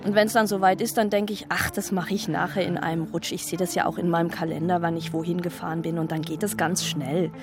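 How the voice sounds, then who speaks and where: A woman's voice: fairly low pitch, flat delivery, fast, hardly any pauses, lightly acted. Woman acting a scatterbrained, flustered woman over music. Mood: flustered, puzzled. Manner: rambling, digressing, self-questioning. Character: scatterbrained self-justifying woman flustered by her taxes. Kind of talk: naturalistic radio drama monologue.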